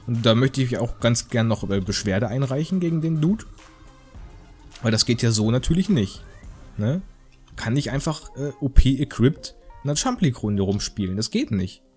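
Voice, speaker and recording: Male gamer, lively swooping intonation, casual commentary, rambling slang, mock indignation, faint music underneath. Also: medium pitch. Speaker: gaming video host. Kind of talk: casual joking gameplay commentary.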